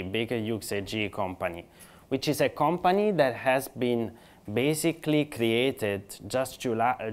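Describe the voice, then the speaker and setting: A middle-aged man with an Italian accent speaks to a conference audience in a mid-range voice. His delivery is conversational and halting, with frequent fillers and restarts as he works through his points. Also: mid-range voice. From Italy. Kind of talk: business conference presentation.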